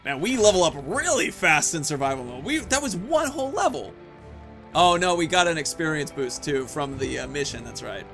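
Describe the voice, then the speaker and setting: A man's voice: on the high side, big pitch swings, moderate pace, fairly bright tone, faint music behind. A man in his thirties giving casual commentary as the host of a gaming video.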